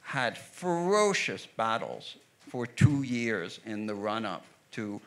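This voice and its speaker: A middle-aged American man, an author and historian, explaining history in a measured way with frequent fillers, speaking into a handheld mic on an outdoor veranda stage. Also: lowish voice, big pitch swings. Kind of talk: onstage conversation.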